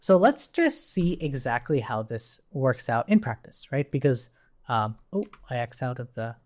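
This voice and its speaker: A man gives an informal programming lesson, thinking aloud as he works. His delivery is explanatory and very varied in pitch. He regularly checks in with the listener as he explains each step. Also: sing-song, moderate pace, a little mellow. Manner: explanatory, often checks in with "right?". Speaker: programming instructor.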